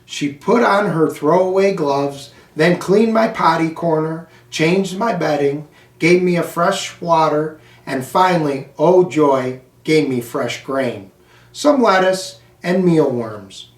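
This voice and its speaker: An American man reading a children's storybook aloud in a deliberate, phrase-by-phrase way. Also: on the high side. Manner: slow, deliberate, phrase-by-phrase delivery. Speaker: school superintendent reading aloud. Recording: echoey room, distant microphone.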